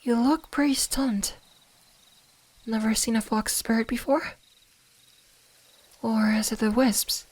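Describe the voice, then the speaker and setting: Woman as a slow, soft-spoken gentle fox spirit. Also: fairly high pitch, fairly even intonation. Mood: gentle, slightly shy. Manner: soft-spoken, soothing, hesitant, at times whispering. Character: gentle, curious female kitsune fox spirit guarding woods. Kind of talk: ASMR roleplay.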